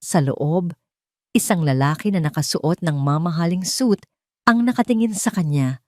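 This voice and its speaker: A woman's voice: lowish voice, normal speed, no echo, clean studio sound. A woman reading a scripted story as a dramatic storyteller. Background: soft music.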